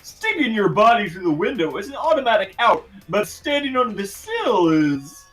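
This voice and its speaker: A man's voice: high-pitched, big pitch swings, moderate pace, fairly bright tone, far from the mic, faint music behind. A man bantering casually with friends as he plays and dubs a game. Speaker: fandub player. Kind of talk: casual group chat while playing and dubbing a game.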